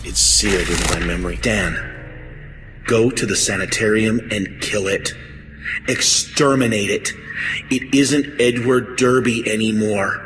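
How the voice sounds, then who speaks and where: An actor playing a shaken, grave man making a halting, brooding confession in a horror audio drama. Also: fairly low pitch, fairly even intonation, fairly bright tone, soft background music. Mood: haunted.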